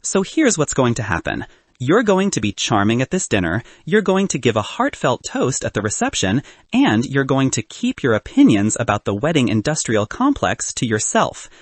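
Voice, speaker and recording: A man voicing an exasperated female professional bridesmaid with a firm, businesslike, sharp-tongued manner in an audiobook. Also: mid-range voice, huge pitch swings, moderate pace, no room sound. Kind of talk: audiobook character dialogue.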